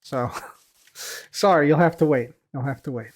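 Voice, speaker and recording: Rambling male streamer, slightly high voice. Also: measured pace. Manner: rambling, repeats phrases, many fillers; trails off quietly at the ends of phrases. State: voice a little tired from heavy use. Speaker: streamer answering chat. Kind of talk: casual live-stream chat.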